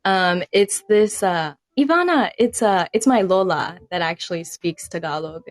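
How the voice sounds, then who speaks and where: A young woman from San Diego hosting a casual livestream interview, friendly and quick to laugh. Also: lowish voice, expressive, no room sound, studio quality. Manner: friendly, laughs often, many "nice"s. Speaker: host.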